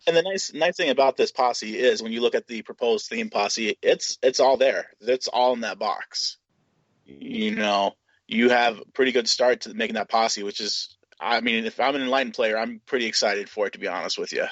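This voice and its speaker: A man's voice: mid-range voice, normal speed, fairly bright tone, no room sound. A man chatting casually on a hobby podcast, talking through game details in an informal, conversational way. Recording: dull, lo-fi podcast audio.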